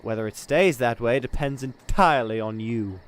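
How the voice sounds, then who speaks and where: A man's voice: mid-range voice, normal speed, lightly acted. A man playing a cocky mercenary elf warrior in a fantasy drama, with a dry, disdainful manner. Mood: defiant.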